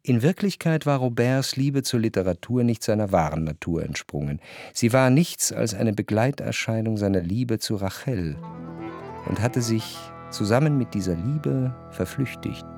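An actor playing a reflective, observant gentleman narrator in a radio drama, telling it in a measured literary manner. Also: lowish voice, animated. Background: music.

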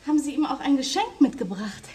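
An actress playing a seductive, mysterious, self-assured woman in a crime radio play, with breathy, teasing, coaxing delivery. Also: high voice, big pitch swings, fast talker, dark tone, a little hiss or hum. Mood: teasing, coy. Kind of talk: 1990s German crime radio play acting.

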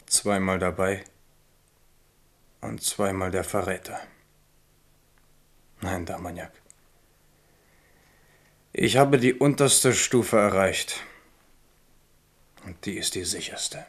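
Brooding, low-voiced captive king. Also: slow, pauses often, exaggerated voice. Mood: bitter, brooding. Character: disillusioned captive king Navarra, brooding, then resolute. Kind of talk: German radio drama acting.

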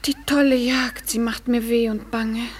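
Lovesick, pleading young woman, slow. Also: a little high, steady tone, breathy edge. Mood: pleading, anxious. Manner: plaintive verse declamation. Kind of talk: classic German radio play acting.

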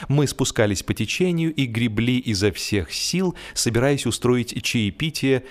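Man narrating a humorous story, medium pitch. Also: lively intonation, unhurried. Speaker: audiobook narrator. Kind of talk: humorous scripted literary narration.

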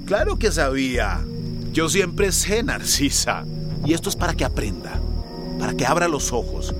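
Cocky smuggler, swooping high voice. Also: male voice, big pitch swings, moderate pace, music in the background. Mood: smug menace. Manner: mocking, theatrical, self-assured. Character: cocky, taunting male people smuggler. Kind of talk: radio drama acting.

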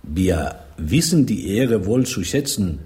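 An actor playing a courteous but impatient diplomat in a radio drama, speaking formally. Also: male voice, deep voice, big pitch swings, mellow, exaggerated voice. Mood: formal, restrained. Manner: formal, measured.

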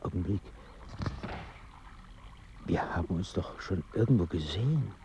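A low-voiced, pedantic intellectual. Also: male voice, expressive, measured pace. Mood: bewildered, searching. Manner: pedantic, hesitant, formal. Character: confused, fussy middle-class male intellectual, dreamy. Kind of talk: radio drama dialogue. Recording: dull sound with faint ambient noise.

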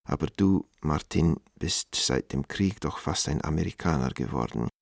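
Radio play: troubled, earnest letter writer, pleading yet measured, deep register, close dry sound. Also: male voice, lively intonation, vocal fry, no room sound, full character voice. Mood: hopeful, urgent. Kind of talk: letter read aloud in radio drama.

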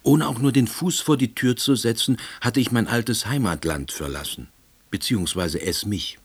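A middle-aged ex-official narrating an audio drama. His voice is very low and husky, with a dry, deadpan delivery and a wry, wordy manner. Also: normal speed. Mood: dry, reflective. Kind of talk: scripted first-person narration.

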